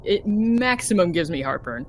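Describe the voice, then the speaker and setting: A woman's voice: fairly low pitch, animated, moderate pace, a little mellow, a little hiss or hum, poor audio. A woman playing in a casual tabletop game, chatting and teasing the others playfully. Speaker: player.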